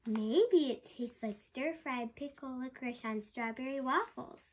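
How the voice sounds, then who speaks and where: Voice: sits high, measured pace, slight background noise. A child voicing a cheerful, silly waffle-loving narwhal in a storybook, playful and exclaiming. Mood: cheerful, curious.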